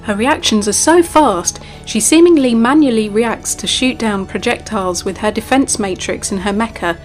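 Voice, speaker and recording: High-voiced woman narrating a game profile. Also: moderate pace. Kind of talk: scripted narration. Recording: music behind.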